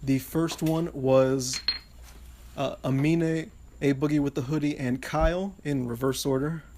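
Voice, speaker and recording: A young man with a slightly higher-pitched voice hosts a loose podcast panel. He is laid-back and keeps steering the chat back on track over his friends' banter. A faint hiss sits under the recording. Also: fairly even intonation, unhurried, warm tone. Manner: laid-back, hosting over side chatter. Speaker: podcast host.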